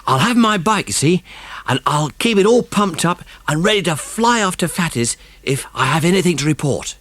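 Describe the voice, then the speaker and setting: A man voicing an excitable working-class boy, eager and bossy, in a children's audiobook. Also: fairly high pitch, moderate pace, no echo, pitched above the performer's own voice. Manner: colloquial, exclaiming, eager. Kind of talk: dramatised children's audiobook acting.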